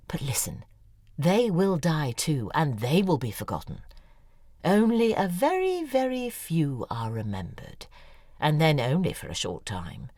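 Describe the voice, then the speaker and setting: A woman's voice: extremely low pitch, darkish tone, no echo, full character voice. A woman, playing a neurotic, desperate novelist who speaks confessionally and often drops into a whisper. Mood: pleading. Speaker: writer confiding in her ghostwriter. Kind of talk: audio drama acting. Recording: dry studio voice with faint music.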